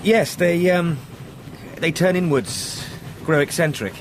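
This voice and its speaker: A young English solicitor in a radio drama, courteous and formal with slight hesitation. His voice is fairly high, with noticeable vehicle noise behind it. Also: slow pace. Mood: courteous, lightly amused. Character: polite, educated young London solicitor, sceptical and formal. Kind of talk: radio play acting.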